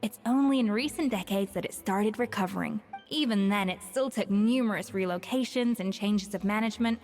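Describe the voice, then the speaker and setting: A woman voicing a composed head chef who speaks in a measured, dry, slightly disdainful way. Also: medium pitch, close to an everyday voice. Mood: matter-of-fact. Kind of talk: video game voice acting. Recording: reverberant game audio with soft music.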